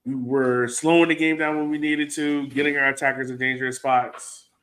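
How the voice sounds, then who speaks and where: A man's voice: mid-range voice, flat delivery, moderate pace, fairly bright tone. An American man co-hosting a soccer podcast, thinking aloud in an informal, conversational way with plenty of "like". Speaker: podcast co-host and soccer supporter. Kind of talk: casual sports chat.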